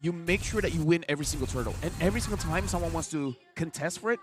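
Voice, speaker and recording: A man's voice: on the high side, very fast, over music. A man from Malaysia giving chatty, slangy analysis as an esports color commentator. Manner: chatty, uses slang like legit and dude.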